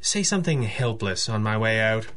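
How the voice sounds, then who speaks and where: A man playing a composed, commanding gentleman detective in a 1930s-style radio drama, crisp and dryly witty. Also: fairly low pitch, lively intonation, moderate pace, slight background noise. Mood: brisk, dry.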